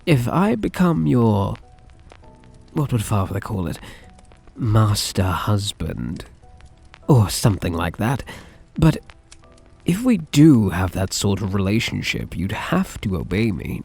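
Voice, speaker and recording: A man playing a soft, lovesick young mafia heir in an ASMR roleplay, speaking in a hesitant, tender, halting way. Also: lowish voice, very animated, creaky, slightly rough, soft background music, heavily acted. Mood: hesitant, coaxing.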